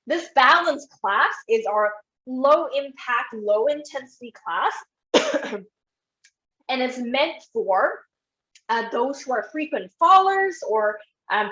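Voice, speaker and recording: A woman physiotherapist leading an online exercise class, guiding step by step in an encouraging way, her voice a bit croaky. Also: high-pitched, animated, takes it slowly, a bit bright, echoey room, dull audio. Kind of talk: live online exercise instruction.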